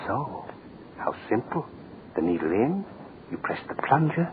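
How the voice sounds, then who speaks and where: An actor playing a cold, power-hungry state official in theatrical, menacing, gloating 1940s radio drama style. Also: male voice, medium pitch, very animated, measured pace. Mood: cold, impatient. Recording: tinny, hissy old radio recording.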